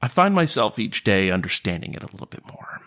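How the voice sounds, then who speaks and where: A man performs a reserved serpent-folk thinker for a tabletop fantasy game. The voice sits fairly low. He words things formally and philosophically, measured and cerebral. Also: sing-song, fairly bright tone. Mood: reflective, reserved. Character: stiff, cerebral male serpent-folk outcast, emotionally reserved.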